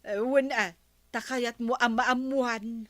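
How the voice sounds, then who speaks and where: A woman with an Ilocano accent playing a gossipy, candid village councilor in a radio comedy drama, exclamatory and animated. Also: on the high side, slow, no echo, studio quality. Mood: teasing.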